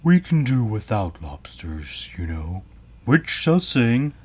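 A man's voice: fairly low pitch, sing-song, takes it slowly, a little mellow, slightly rough, voice pushed up, exaggerated voice. A man voicing a sad, tearful, earnest sea turtle in a storybook. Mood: gentle. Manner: mournful, solemn, earnest. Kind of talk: storybook character voice.

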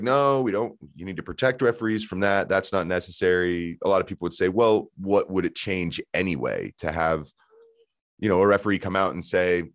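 A man hosting a casual football podcast, joking and animated, with frequent restarts. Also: sits low, dry recording, clean studio sound. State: hoarse, voice shot in intro. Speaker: podcast host.

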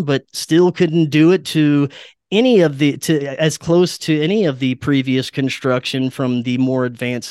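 A man's voice: on the high side, clear, resonant tone, no echo, clean studio sound. A man speaking as a podcast guest, explaining his views conversationally and sometimes searching for words.

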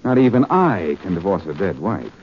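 An actor playing a brash, wisecracking American sleuth in a 1940s radio drama, wry and insistent in manner. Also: male voice, low voice, big pitch swings, normal speed. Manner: wry, insistent, clipped questioning. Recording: dull, noisy old radio recording.

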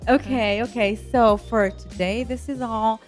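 A woman's voice: a little high, fairly even intonation, a little slow, faint music behind. A woman with an Italian accent, Italian her first language, hosting a faith podcast and affirming her guest often. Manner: warm encouraging, frequent affirmations. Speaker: host.

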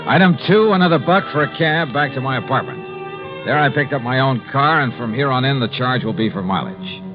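A man playing a brisk, wry insurance investigator in a 1950s radio drama, speaking in a clipped, breezy way. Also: mid-range voice, over music, natural voice. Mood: wry, businesslike. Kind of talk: 1950s radio drama acting, first-person narration and dialogue. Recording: dull lo-fi 1950s radio recording.

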